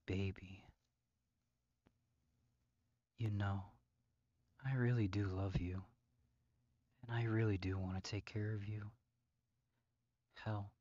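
A man's voice: fairly low pitch, no echo, muffled recording. A man playing an obsessive, possessive psychopath captor in an intimate roleplay audio drama, speaking with mock tenderness and often dropping to whispers. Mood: menacing tenderness.

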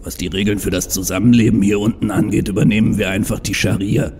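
Casting: male actor as proud, stubborn troll visionary, deep and mellow, earnest and bitter, for audio drama. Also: fairly even intonation, fast, breathy edge. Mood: urging, visionary. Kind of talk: fan audio drama acting.

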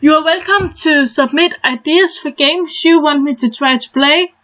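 A young woman from Denmark with a Danish accent, chatting casually and haltingly as she shows a game on her new channel, restarting her sentences often. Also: sits high, a bit bright, highs cut off. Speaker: gaming channel host. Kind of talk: casual gameplay commentary.

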